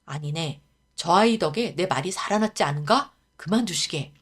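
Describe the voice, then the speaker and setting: A woman voicing a young prince who speaks calmly and graciously in a storybook reading. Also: on the low side, normal speed, breathy edge. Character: young male prince, calm and kindly commanding.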